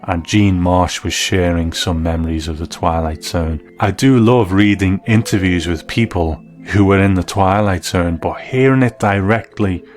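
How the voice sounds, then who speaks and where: Low-voiced man narrating over faint music. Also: moderate pace, few pauses, a little mellow. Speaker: host and reviewer. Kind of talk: scripted documentary narration.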